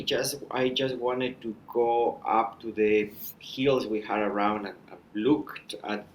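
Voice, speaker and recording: Man, 30s, low pitch, measured, reflective, faint background noise. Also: a bit bright, low-quality recording. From Ecuador. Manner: measured, with frequent restarts and filler. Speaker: mountain guide, podcast guest. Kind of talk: thoughtful conversational storytelling.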